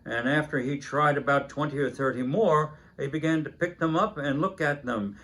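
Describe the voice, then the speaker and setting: A man in his seventies reads a children's Christmas story aloud, unhurried, with small hesitations. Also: fairly high pitch, a bit bright, slight background noise, rough recording. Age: 70s. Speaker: story reader.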